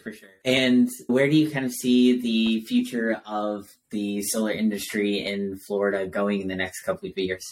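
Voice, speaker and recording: A male podcast host with a lowish voice asks questions and responds with short, friendly acknowledgements and an easy laugh. A faint hiss of noise sits under his voice. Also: flat delivery, normal speed, few pauses, low-quality recording. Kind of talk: casual interview.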